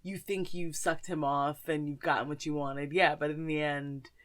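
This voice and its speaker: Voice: mid-range voice, little pitch movement, normal speed, fairly bright tone. A podcast host in casual fan chat, gushing and slangy, with frequent swearing.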